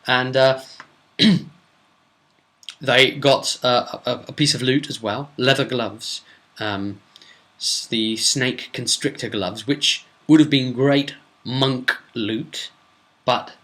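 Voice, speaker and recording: Bright-voiced man recapping a tabletop game. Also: medium pitch, slow pace. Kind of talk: casual solo recap narration.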